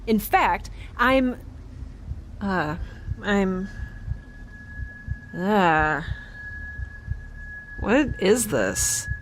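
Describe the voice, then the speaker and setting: Injured woman scientist for audio drama: halting, defiant, slow-paced, faint background ambience. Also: medium pitch, animated, slight background noise, poor audio. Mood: indignant, flustered. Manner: halting, rambling, defensive. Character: injured, stubborn, rambling woman scientist, dazed but defiant. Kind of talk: naturalistic audio drama acting.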